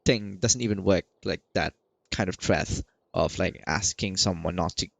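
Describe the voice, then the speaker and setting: Guy doing lets play, low creaky voice, rambly n halting, dry close mic. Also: no echo. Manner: rambling, halting, repeats himself. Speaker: gaming video creator. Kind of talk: casual let's play commentary.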